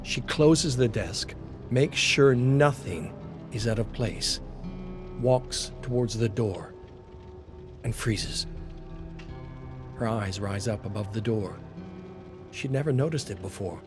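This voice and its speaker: A man's voice: lowish voice, slow, long pauses. Male story narrator over music. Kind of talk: scripted dramatic story narration.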